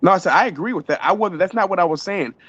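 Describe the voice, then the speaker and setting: A man calling into a debate, measured and insistent about getting to finish his point. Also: sits high, a bit bright, highs cut off. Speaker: guest on a call. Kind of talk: casual debate.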